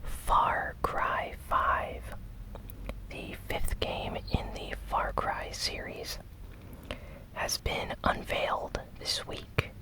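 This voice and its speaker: A host whispering a gaming news recap in a gentle, deliberate, breathy ASMR style. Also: rough recording. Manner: slow, gentle, deliberate delivery with short phrases.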